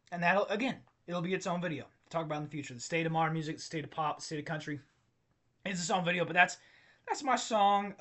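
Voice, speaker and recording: A man with a slightly high, bright, forceful voice rambles through a comedy podcast, tripping over his own sentences as he gets worked up. His voice sounds tired and strained from overuse. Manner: rambling, self-interrupting, excitable. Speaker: podcast host. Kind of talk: solo comedic rant podcast.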